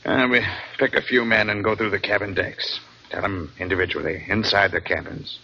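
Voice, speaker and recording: An actor playing an authoritative, decisive ship's captain, firm and businesslike in 1940s radio drama style. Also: male voice, on the low side, fairly even intonation, moderate pace, pushed and bright. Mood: grave, commanding. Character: brisk, decisive male ocean liner captain. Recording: muffled, faintly noisy old radio recording.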